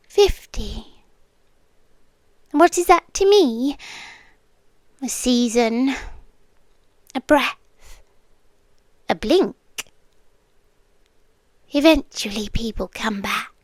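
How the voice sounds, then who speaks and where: A woman playing an ancient, possessive female monster in an ASMR roleplay, with a British accent and a hushed, teasing delivery that often drops into whispers. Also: a little high, lively intonation, very slow, long pauses, no room sound, exaggerated voice. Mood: patient, possessive. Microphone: close microphone.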